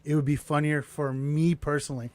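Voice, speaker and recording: Blunt, sarcastic higher-voiced man. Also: level tone, fairly quick, a little mellow, no echo. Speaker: podcast co-host. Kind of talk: casual crude banter.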